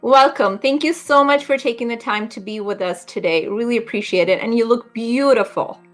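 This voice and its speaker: Gushing young woman interviewer, high voice. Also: moderate pace, soft background music. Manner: effusive, complimentary. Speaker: interview host. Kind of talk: friendly interview chat.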